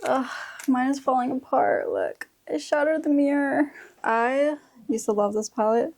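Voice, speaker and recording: Female vlogger, mid 20s. Higher-pitched, mellow voice with fry, informal and chatty. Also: big pitch swings, measured pace. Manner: informal, slangy speech with frequent "like". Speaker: beauty vlogger. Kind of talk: casual chatty makeup tutorial.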